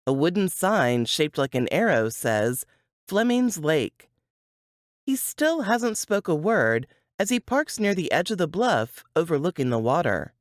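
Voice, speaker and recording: A woman reading a first-person novel aloud as its author, with deliberate, dramatic phrasing. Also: sits low, big pitch swings, no echo, clean studio sound. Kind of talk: expressive first-person fiction narration.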